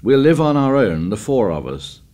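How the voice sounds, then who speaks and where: Guy putting on a shrill worked-up kid, pushy and defensive, storybook reading, pitched up. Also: measured pace. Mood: eager, stubborn. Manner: uneducated grammar, insistent, excitable. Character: anxious, stubborn boy, pleading and defensive.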